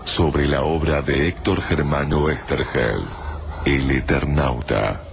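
Casting: male announcer for serial credits, rock-bottom pitch, slow, measured drama over music. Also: gravelly, noisy background. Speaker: radio announcer. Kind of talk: scripted credits and promo announcing. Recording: music bed behind announcer.

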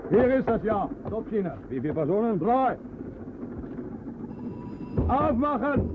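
An actor playing a brutal policeman on a raid in a radio drama, shouting curt orders. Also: sits high, big pitch swings, takes it slowly, exaggerated voice. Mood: harsh, impatient. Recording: muffled, very noisy radio recording, distant.

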